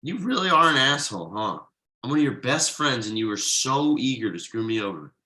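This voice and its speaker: A man acts out an exasperated ad copywriter and young dad in a comedy table read. His bright voice swings widely in pitch. He stammers with emphatic disbelief. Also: big pitch swings, fairly quick, rough recording. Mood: indignant, angry. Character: exasperated young father, wronged friend and ad copywriter.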